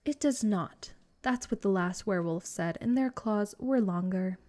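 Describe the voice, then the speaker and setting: Slow-talking, smug, lovestruck vampire girl played by a woman. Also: mid-range voice, darkish tone, close to an everyday voice. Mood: defiant. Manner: haughty, doting, teasing, drawn-out delivery. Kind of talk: comedic roleplay audio drama.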